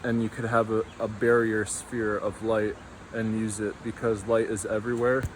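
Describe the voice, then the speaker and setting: Young male vlogger, flat, drifting tangents, outdoors with light traffic hum. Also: lowish voice, normal speed, rough recording. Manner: meandering, tangential, many fillers. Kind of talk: rambling casual monologue.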